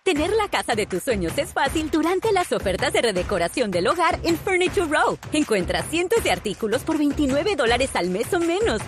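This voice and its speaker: A woman's voice: high-pitched, sing-song, fairly quick, few pauses, noisy background. A woman reading a radio advertisement in an upbeat, persuasive way. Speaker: ad announcer.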